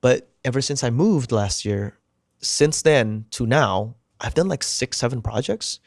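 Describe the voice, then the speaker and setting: A man whose first language is Indonesian, an actor chatting as a guest, animated and acting out quoted remarks with lots of "like" fillers. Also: medium pitch, unhurried, gravelly, no room sound, clean studio sound. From United States. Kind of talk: casual interview chat.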